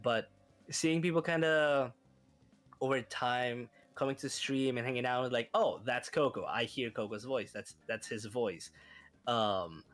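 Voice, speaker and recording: A man's voice: mid-range voice, unhurried, a bit bright, faint music behind. A man whose first language is Spanish speaks with a light Spanish accent. He chats casually as a guest, hesitant and giggly, and often restarts his sentences. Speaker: guest streamer. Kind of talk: casual chat.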